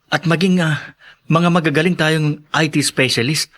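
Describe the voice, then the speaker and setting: Guy acting young filipino student in radio drama, highish bright voice, eager dreamer, always upbeat. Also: fairly even intonation, normal speed, lightly acted. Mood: hopeful, earnest. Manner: eager, optimistic.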